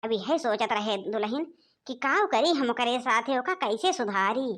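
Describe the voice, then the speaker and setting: Woman acting a high-pitched, fretful Awadhi mother-in-law. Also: expressive, a little slow, a bit bright. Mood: troubled. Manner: lamenting, nagging. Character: worried, scolding village mother-in-law in cartoon. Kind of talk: animated comedy dialogue.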